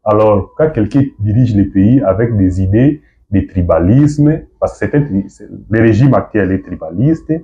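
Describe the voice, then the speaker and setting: Muffled audio, Congolese man, dark low voice, activist interviewee, halting delivery, repetitive rephrasing. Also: lively intonation. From DR Congo, from Goma. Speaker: activist guest being interviewed. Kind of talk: political interview answers. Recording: dull, muffled lo-fi sound.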